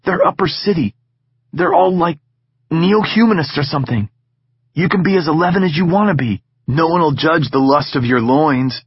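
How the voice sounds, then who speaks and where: A man's voice: fairly high pitch, expressive, moderate pace, breathy edge, dry recording, lo-fi sound, pitched above the performer's own voice. A man voicing a brash, teasing teenage boy who keeps pushing his friend, in an audiobook reading. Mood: pushy eager.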